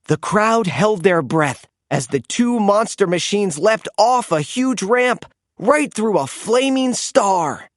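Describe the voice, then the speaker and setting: Slow, very animated storybook narrator. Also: medium pitch, no echo, clean studio sound. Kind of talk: animated children's read-aloud narration.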